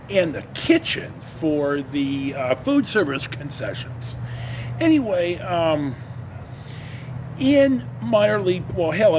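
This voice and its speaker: A man, middle-aged or older, telling casual personal stories in a rambling, halting way, often breaking off and circling back. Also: high-pitched, darkish tone, a little hiss or hum. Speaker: storyteller host.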